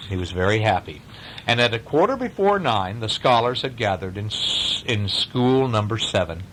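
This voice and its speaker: A man's voice: lowish voice, sing-song, moderate pace, fairly bright tone, slight background noise, rough recording. A man telling a bedtime story aloud, with chatty, questioning asides as if to a child. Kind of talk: animated bedtime story reading with casual asides.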